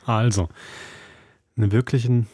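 A man's voice: fairly low pitch, fairly even intonation, measured pace. A man presenting casually as the host of an audio drama podcast.

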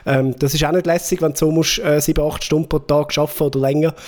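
Joking Swiss German man, higher voice. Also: fairly even intonation, quick pace, darkish tone. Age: 40s. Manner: joking, rambling storyteller. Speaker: podcast co-host. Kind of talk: casual chat.

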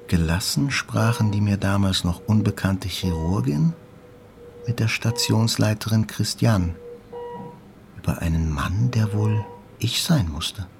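Sober, lowish-voiced wounded narrator. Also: male voice, measured pace, vocal fry, background noise. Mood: introspective, sober. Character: sober, wounded male journalist recounting his hospital stay. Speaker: first-person narrator. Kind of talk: literary audio drama narration. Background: faint music.

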